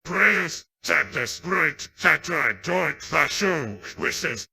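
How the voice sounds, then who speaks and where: An immortal djinn villain from a video game, grand and menacing. The voice is piercingly forceful and very raspy, with a gravelly edge. He boasts like someone sure of ruling the world. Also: fairly high pitch, sing-song, extremely slow, exaggerated voice. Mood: grandiose menace. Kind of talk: dubbed video game cutscene dialogue.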